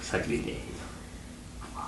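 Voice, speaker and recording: Hushed, awed man in an echoey room. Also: measured pace. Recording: distant, roomy, faint background noise.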